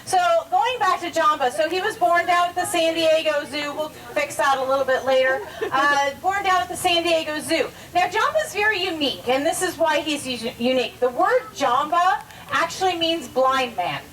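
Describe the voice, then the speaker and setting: A woman's voice: sits high, moderate pace, hardly any pauses, a bit bright, far from the mic, slight background noise, low-quality recording. A woman giving a live, informal educational animal presentation, explaining things directly to onlookers. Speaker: zoo animal show presenter.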